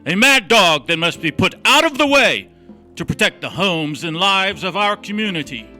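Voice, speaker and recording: An actor playing a righteous, fiery courtroom prosecutor, declamatory and emphatic, in an old-fashioned melodramatic style. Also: male voice, high-pitched, animated, moderate pace, bright, forceful tone, soft background music, heavily acted. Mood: outraged.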